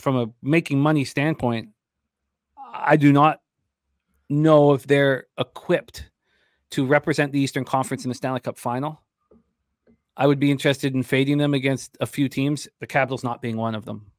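A man's voice: mid-range voice, no echo. A middle-aged man hosting a sports betting show, breaking down games conversationally in short, emphatic statements, close on a desk microphone. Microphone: large desk microphone, close.

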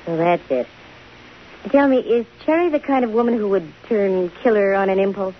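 A woman's voice: medium pitch, normal speed, close to an everyday voice. An actress playing a bold, dryly wisecracking female private investigator in a 1950s radio detective drama. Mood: probing. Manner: dry, wisecracking. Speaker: lead actress and first-person narrator of a detective radio show. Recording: hissy, muffled old radio recording.

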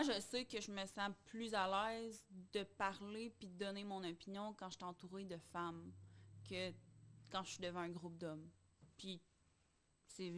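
A young woman with a Quebec French accent, talking in a tentative, reflective way in a casual group discussion on a studio mic. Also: medium pitch. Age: young adult. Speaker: podcast panelist. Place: small podcast studio. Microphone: close studio microphone on boom arm.

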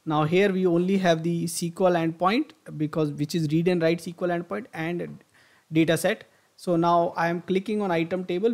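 Gravelly-voiced man walking through software. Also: on the high side. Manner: thinks aloud with frequent fillers and restarts. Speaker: software instructor. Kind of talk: screen-recorded tutorial walkthrough.

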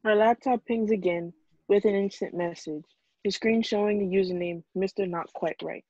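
A woman's voice: medium pitch, fairly even intonation, normal speed, dark tone, no room sound. A woman reading stage directions aloud as scripted narration at a film table read. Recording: dull lo-fi video call audio.

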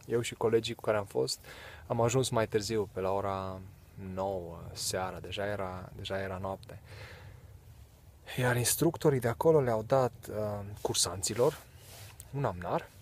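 A man in his thirties from Romania, explaining bushcraft in a relaxed, casual way in leafless woods, with fillers and short laughs. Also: fairly low pitch, slight background noise. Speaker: bushcraft vlogger. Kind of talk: casual outdoor tutorial. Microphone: camera close, handheld then set on ground.

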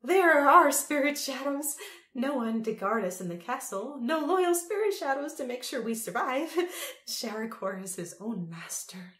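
A woman's voice: sits high, expressive, measured pace, a bit bright, roomy sound, voice pushed up. A woman voicing a drunken, crazed old Empress in an audiobook story, whimpering, crooning and cackling. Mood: mad cackling.

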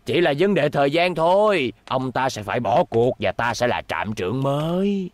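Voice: medium pitch, sing-song, moderate pace, natural voice. Actor as a smug, scheming hotel tycoon. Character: scheming, smug middle-aged hotel owner villain. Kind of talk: Vietnamese cartoon dubbing. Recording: background music under dubbed dialogue.

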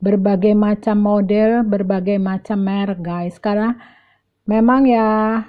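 A woman's voice: medium pitch, steady tone, measured pace, dull audio. A middle-aged woman giving a chatty, casual walk-and-talk shopping vlog, addressing viewers directly and often repeating words. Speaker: vlogger. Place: large indoor shopping mall.

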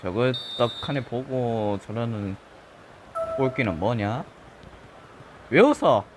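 A man with a regional Korean accent chatting casually over a football video game, his voice a little husky from just waking up, breaking into loud excited shouts. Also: medium pitch, slow pace, background noise. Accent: regional Korean dialect. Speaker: gaming channel host. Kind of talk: live gameplay commentary. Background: game music.

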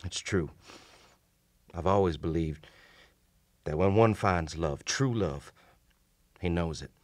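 An actor playing a wounded, gentlemanly soldier in a radio drama, narrating and speaking in a grave, formal, courteous manner. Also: male voice, low voice, takes it slowly. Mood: grave, resigned. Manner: formal, grave, old-fashioned courteous speech. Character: wounded gentlemanly soldier recounting his haunted, doomed past. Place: small indoor radio studio. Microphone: shared vintage-style studio mic on stand.

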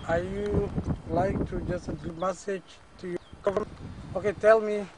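A man's voice: sits high, steady tone, normal speed. A man asking short questions in non-native English as an interviewer outdoors. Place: outdoors in bushland. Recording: very noisy outdoor camcorder.

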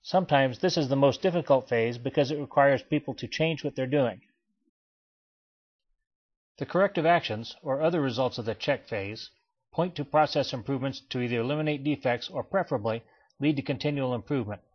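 A man giving a scripted voice-over for a training slideshow, in a measured, explanatory way. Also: mid-range voice. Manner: measured, even explanatory delivery. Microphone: voice-over, not recorded in pictured place. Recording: dull lo-fi voice-over.

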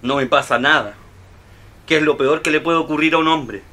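An actor with a Chilean accent playing a meek, hesitant, downtrodden middle-aged husband in a radio play. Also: male voice, a little high, normal speed, pushed and bright. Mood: meek, gloomy.

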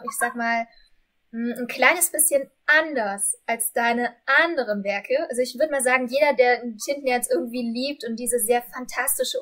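A woman's voice: a little high, fairly bright tone. A woman in her thirties interviewing in a conversational way as host, on a video call from a room indoors. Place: indoors before bookshelves.

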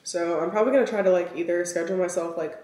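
A young woman vlogging from her kitchen and closet, her lowish voice a little raspy from a cold. She rambles with plenty of fillers, sometimes while eating. The camera sits a bit away from her, so the sound is roomy and echoey. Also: racing, a little mellow. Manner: chatty, filler-heavy, talks while eating. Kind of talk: casual vlog chat. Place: home kitchen and walk-in closet. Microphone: camera set on counter at arm's length. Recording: echoey room, somewhat far from mic.

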